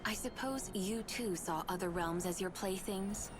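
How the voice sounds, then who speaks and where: An actress playing a solemn, guarded lone survivor in a video game cutscene, speaking with formal, measured wording. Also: female voice, medium pitch, natural voice. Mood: accusing. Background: game music.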